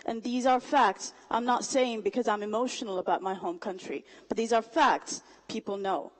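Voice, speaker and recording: A woman's voice: medium pitch, moderate pace. A young woman from Somalia giving a public speech, engaging the audience with rhetorical questions. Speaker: student speaker.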